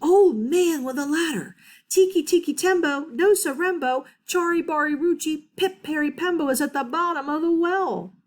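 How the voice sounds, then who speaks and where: A woman's voice: on the high side, animated, measured pace, few pauses, darkish tone. A woman reading a storybook aloud, voicing a small boy who pleads urgently and pants for breath. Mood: shouting.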